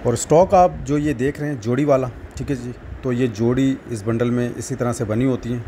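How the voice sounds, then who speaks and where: A man with a Pakistani accent, a seller narrating a product demonstration in a matter-of-fact, repetitive sales pitch. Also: mellow, slight background noise. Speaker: wholesale market seller and channel host.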